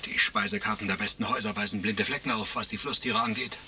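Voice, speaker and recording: An actor playing a sly, florid crab hunter who talks with mock courtesy in a German radio play. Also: male voice, fairly low pitch, steady tone, fast talker, pushed and bright, a little hiss or hum. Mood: coaxing.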